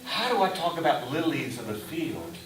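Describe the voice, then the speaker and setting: A man preaching a sermon in a deliberate way, with rhetorical questions and emphatic short phrases. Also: fairly high pitch, sing-song. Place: reverberant indoor room before a congregation. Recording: echoey room, distant mic, faint hiss.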